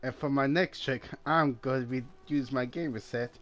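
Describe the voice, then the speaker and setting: A man's voice: medium pitch, moderate pace, a bit bright. A man giving casual gameplay commentary with playful, mock-dramatic asides. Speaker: gaming video host. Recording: clearly noisy home recording.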